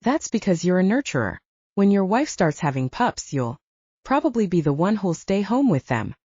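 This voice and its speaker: A woman's voice: medium pitch, sing-song, moderate pace, a little mellow, husky, no room sound, lo-fi sound. A woman voicing a cheeky, flirty male wizard who talks in a teasing, breezy way. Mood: teasing, wistful.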